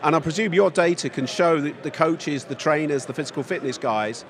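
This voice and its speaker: A man's voice: a little high, a little fast. Friendly man interviewer. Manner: friendly, asks leading questions ending "isn't it?". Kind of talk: casual interview questions. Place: outdoors at a trade event.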